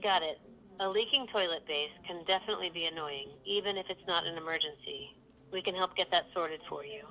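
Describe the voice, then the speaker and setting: A woman's voice: on the low side, brisk, bright, forceful tone, rough recording. A synthetic woman's voice as a polite virtual assistant, giving scripted, neatly phrased customer service. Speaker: virtual phone receptionist.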